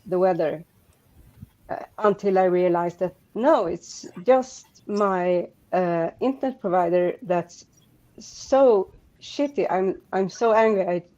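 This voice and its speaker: Slow, halting Swedish-accented woman. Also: lowish voice, dark tone, lo-fi sound. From Sweden. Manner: halting, many restarts and fillers, rambling. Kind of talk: casual group chat.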